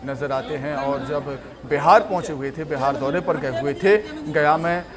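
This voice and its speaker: Male news anchor, higher-than-average pitch, bulletin delivery. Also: moderate pace, a crowd in the background. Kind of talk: news presenting.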